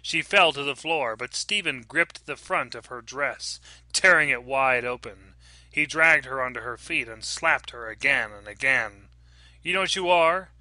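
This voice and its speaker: A man's voice: medium pitch, measured pace, bright, forceful tone, no room sound, low-quality recording. A man reading scripted fiction aloud as a dramatic narrator. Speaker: story reader and narrator.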